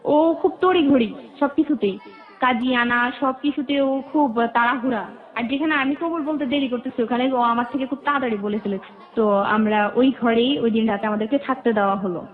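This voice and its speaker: A woman's voice: on the high side, moderate pace. A woman with a Bangladeshi accent telling her life story as an interview guest, in detail and with remembered dialogue. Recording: muffled, narrow phone-like band.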